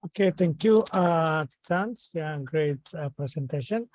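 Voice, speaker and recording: A man moderates a student seminar. He asks questions haltingly, searching for words. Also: fairly high pitch, lively intonation, darkish tone, slight background noise. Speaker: lecturer moderating. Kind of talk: seminar discussion. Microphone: video call.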